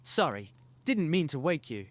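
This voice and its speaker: Higher-voiced troubled teenage boy played by a man. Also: big pitch swings, moderate pace. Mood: quiet, wistful. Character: troubled teenage boy wizard, earnest and weary. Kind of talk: fan audio drama acting.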